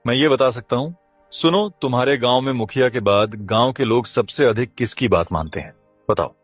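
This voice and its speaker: A man's voice: mid-range voice, normal speed, soft background music, natural voice. A man voicing a mysterious, solemn cursed kite bird in a cartoon story, speaking in an earnest, portentous way. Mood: grave, instructing.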